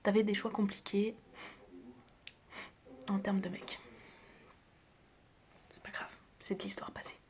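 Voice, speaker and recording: A woman speaking softly and often whispering in a playful, teasing ASMR roleplay, casually addressing the listener as a friendly doctor. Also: mid-range voice, flat delivery, slow, pauses often. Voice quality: soft, breathy, often whispering. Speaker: ASMR creator playing a chaotic doctor best friend. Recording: close recording with clear background noise. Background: background noise, clicking.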